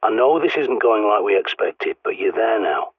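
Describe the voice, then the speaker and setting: A gruff, sardonic British survivalist over a tinny radio. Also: male voice, on the low side, sing-song, moderate pace, no echo. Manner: sardonic, blunt, swears often. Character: gruff male survivalist radio guide, cynical. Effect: radio transmission. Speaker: radio guide character. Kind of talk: video game voice acting.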